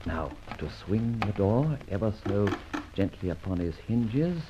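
Cool gentleman adventurer, radio play, formal yet teasing, animated intonation, dull hissy old recording. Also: on the low side, measured pace. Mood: hushed, grave. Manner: polished, formal, wryly teasing. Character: suave gentleman adventurer, cool, teasing, secretive masked rogue. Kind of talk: old radio drama acting. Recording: muffled old radio recording, background hiss.

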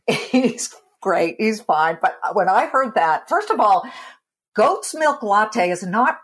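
A woman from California hosting an interview, chatty and quick to laugh. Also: medium pitch. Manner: chatty, animated, laughs easily. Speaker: host. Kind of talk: podcast interview.

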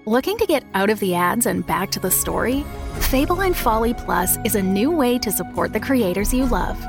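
Higher-pitched woman announcer over music. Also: lively intonation, moderate pace. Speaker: podcast network announcer. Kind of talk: scripted promo read.

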